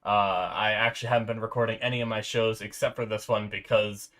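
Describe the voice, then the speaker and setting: A man's voice: lowish voice, steady tone, a little fast, fairly bright tone. Congested sick man hosting a reaction video. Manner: rambling, frequent filler restarts. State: sore throat. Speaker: anime reaction host. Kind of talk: casual reaction commentary. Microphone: desk mic with noise filter.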